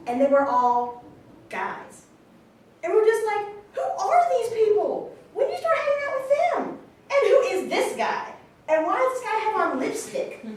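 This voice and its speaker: Actress as animated high-voiced sister, roomy stage. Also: female voice. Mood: incredulous, amused. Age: 20s. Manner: animated, informal storytelling. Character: twenty-eight-year-old sister fondly recalling her brother. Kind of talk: conversational monologue in a staged reading. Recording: very echoey room, distant mic, noisy.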